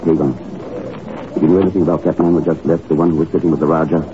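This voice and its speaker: A man playing a calm, shrewd secret agent in a 1950s radio drama, speaking in a clipped, questioning manner. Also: rumbling low, rapid-fire, background noise. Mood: cool, probing. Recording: muffled old radio recording, music.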